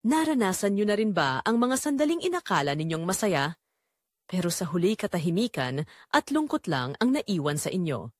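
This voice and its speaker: A woman narrating as a brooding, guilt-torn man, in slow, deliberate, confessional dramatic storytelling. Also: on the low side, sing-song, no room sound, studio quality. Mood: regretful, reflective. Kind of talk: scripted first-person dramatic narration.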